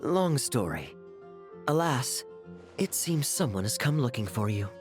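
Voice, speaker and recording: A man's voice: mid-range voice, very animated, measured pace, faint music behind. A male actor voicing a suave, sly, amused knight in a video game, with a playful, teasing delivery. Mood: sly amusement. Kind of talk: video game character dialogue.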